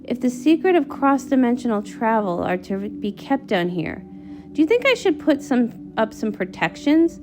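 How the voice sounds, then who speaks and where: Woman putting on an animated old unicorn wizard. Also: a little high, normal speed, noisy background. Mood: reassuring. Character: learned, ambitious old male unicorn wizard. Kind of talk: comic read-along character acting.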